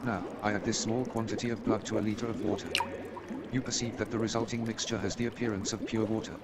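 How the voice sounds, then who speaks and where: A man's voice: fairly low pitch, fairly even intonation, fast, lightly acted. A man playing an eager, self-assured amateur detective and chemist in a staged radio play, speaking in an emphatic, pedantic way. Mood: excited, proud. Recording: noisy with watery background sound.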